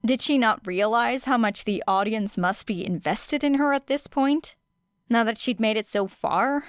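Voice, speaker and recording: A woman reading a story as an audiobook narrator, with expressive, dramatic phrasing and deliberate pauses. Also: fairly high pitch, smooth, no room sound, clean studio sound. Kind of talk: expressive scripted fiction narration.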